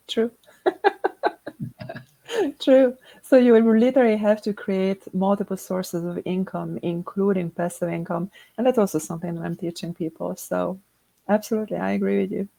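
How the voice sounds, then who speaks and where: A middle-aged woman from Hungary, a guest chatting casually in an interview, upbeat and quick to laugh, often repeating words as she starts a sentence. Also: medium pitch, fairly even intonation, vocal fry, mellow, no room sound.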